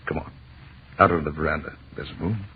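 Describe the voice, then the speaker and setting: A deep-voiced, mock-pompous professor husband. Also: male voice, steady tone, fairly quick, vocal fry. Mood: romantic. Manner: mock-pompous, florid phrasing. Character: romantic, wry astronomy professor and husband, bantering, pedantic. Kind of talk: 1940s radio drama acting. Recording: muffled, noisy old radio recording.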